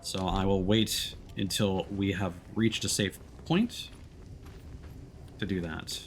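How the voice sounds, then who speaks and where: A man's voice: low-pitched, fairly even intonation, takes it slowly, slight background noise. A man livestreaming a video game, chatting casually and in a friendly way with his viewers. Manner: friendly, addresses chat viewers by name. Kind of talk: casual chatty stream talk while playing.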